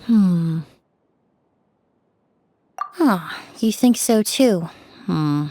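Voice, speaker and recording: A woman voicing a composed young forensic cleaner in a video game, speaking in a measured, precise and polite way. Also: lowish voice, animated, dark tone, a little hiss or hum. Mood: pensive. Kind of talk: animated video game dub acting.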